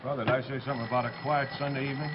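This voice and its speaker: An actor playing an exasperated state police dispatcher, curt and official, in a TV drama. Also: male voice, medium pitch, flat delivery, very fast, lightly acted. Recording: muffled, noisy old TV soundtrack.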